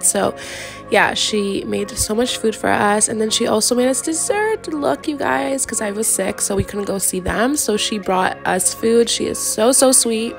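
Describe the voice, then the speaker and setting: A young woman vlogger chatting casually to camera with a stuffy, congested, raspy voice, gushing and filling pauses with "like" and "yeah". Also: medium pitch. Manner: bubbly, gushing, many fillers like "yeah" and "like". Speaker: lifestyle vlogger.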